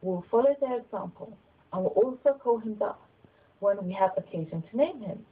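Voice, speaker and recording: A woman reading literary narration aloud as an audiobook reader, with a slightly husky voice. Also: medium pitch, a little fast, phone-quality sound.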